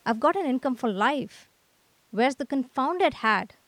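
A woman playing an excitable, impulsive young man, an artist, in a radio comedy, talking in exclamatory short bursts. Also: high-pitched, unhurried, no echo. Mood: elated, frantic. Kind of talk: radio comedy drama acting.

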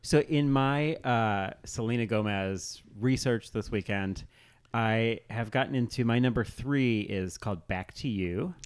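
A man co-hosting a casual pop culture chat, speaking in a halting way peppered with "like". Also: medium pitch, smooth. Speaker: podcast co-host.

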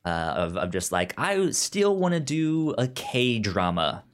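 A man's voice: medium pitch, very animated, slow. A man co-hosting a podcast in casual chat, stammering and often repeating words and syllables. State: short on sleep. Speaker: podcast co-host.

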